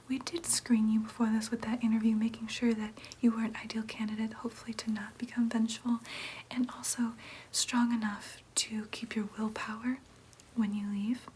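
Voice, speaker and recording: A woman playing a gentle, reassuring vampire nurse in an ASMR roleplay, speaking in a soft, breathy whisper with careful, soothing explanations. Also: fairly high pitch, little pitch movement, normal speed, few pauses, a little hiss or hum, lightly acted. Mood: helpful, businesslike.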